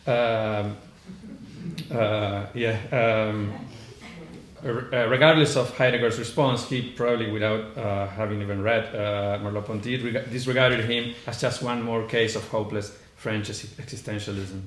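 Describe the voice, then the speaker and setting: A man's voice: fairly low pitch, fairly even intonation, measured pace. A man with a heavy Argentine accent presenting an academic paper at a conference, reading from notes with frequent "uh" fillers and false starts. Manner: hesitant, many "uh" fillers and restarts. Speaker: conference panelist. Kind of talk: academic lecture read from notes. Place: conference room. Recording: echoey room, faint background noise.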